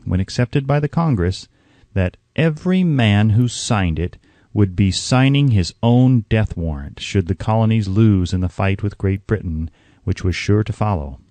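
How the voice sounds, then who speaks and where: A man reading a scripted audiobook narration in a measured, oratorical, earnest manner. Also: fairly low pitch, mellow, no room sound.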